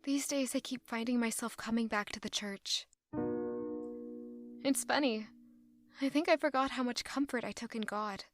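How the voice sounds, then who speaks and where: An actress playing a troubled young noblewoman, speaking her inner thoughts in a quiet, reflective monologue that turns pained. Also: fairly high pitch, moderate pace, long pauses, natural voice. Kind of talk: audio drama narration. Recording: clear music under the voice.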